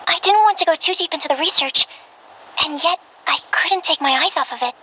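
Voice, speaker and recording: Very high-voiced, earnest young alchemist. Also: female voice, a little fast, pushed and bright, a little hiss or hum, poor audio. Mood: absorbed. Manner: earnest, formal, hesitant when flustered. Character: earnest young female alchemist, polite, bookish and easily flustered. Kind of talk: anime-style video game voice acting. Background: music.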